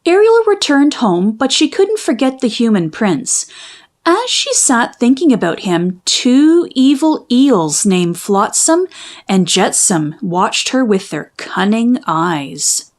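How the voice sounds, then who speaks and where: Slow, animated woman reading a storybook. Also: a little high. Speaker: storybook reader. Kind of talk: expressive children's read-aloud narration.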